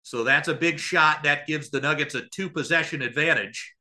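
Guy doing live radio basketball commentary, kinda high voice, super bright and punchy, gets excitable. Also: normal speed. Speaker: play-by-play announcer.

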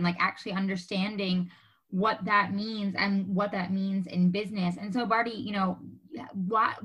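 A woman's voice: medium pitch, fairly bright tone. A woman hosting a podcast, asking long, roundabout questions in a chatty, complimentary, conversational way. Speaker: podcast host. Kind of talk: conversational interviewing.

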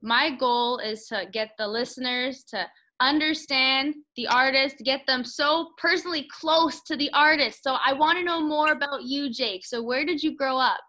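A woman's voice: high voice, normal speed, pushed and bright. A woman hosting a country music interview, chatting enthusiastically and fond of puns. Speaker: interview host. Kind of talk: upbeat podcast interview.

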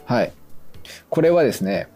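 A man giving a casual radio pitch, explaining his view earnestly and insistently. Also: big pitch swings, moderate pace, mellow. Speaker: show staff member presenting a film pitch. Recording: music playing behind the talk. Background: background music.

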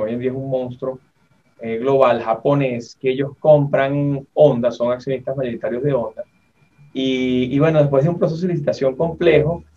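A man's voice: mid-range voice, warm tone. A Venezuelan-accented man in his forties telling stories casually, in a colloquial way with frequent tag questions. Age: 40s. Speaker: interviewer, entrepreneur. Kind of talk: casual conversational storytelling. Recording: muffled, distant from the microphone.

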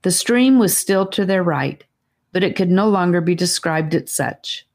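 Female audiobook narrator, low voice, scripted children's fantasy storytelling. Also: expressive, a little slow, darkish tone.

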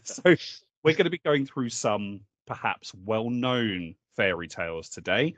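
A man's voice: on the low side, sing-song, unhurried, a bit bright, no room sound. A man co-hosting a podcast in casual comic banter, joking and swearing as he rambles along. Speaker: podcast co-host.

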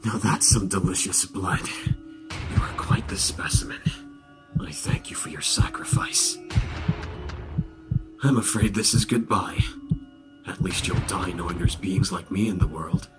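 A man playing a sadistic, theatrical vampire in an audio roleplay, savoring his words with hushed menace. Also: deep voice, steady tone, a little slow, long pauses. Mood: gloating, hungry. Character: predatory gloating male vampire, sadistic and theatrical. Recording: loud music over the voice. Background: music.

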